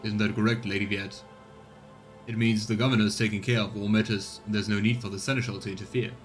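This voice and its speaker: A man voicing a dutiful male seneschal with measured, formal politeness, reading along with a game. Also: on the low side, level tone, soft background music, close to an everyday voice. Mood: polite, probing.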